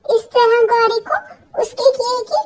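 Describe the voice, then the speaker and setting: A woman's voice: extremely high pitch, fairly even intonation, slow pace, darkish tone. A woman narrator reading a scripted children's story.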